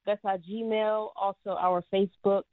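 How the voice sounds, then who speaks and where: A woman's voice: mid-range voice, little pitch movement, measured pace, dry recording. Young woman on a phone line. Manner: polite, respectful. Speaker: guest, family business owner.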